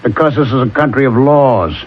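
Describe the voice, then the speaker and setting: An actor playing a skeptical, matter-of-fact police detective with a measured manner in a radio drama. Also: male voice, medium pitch, slightly rough, natural voice. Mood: firm. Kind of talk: 1970s radio drama acting. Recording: dull, noisy old radio recording.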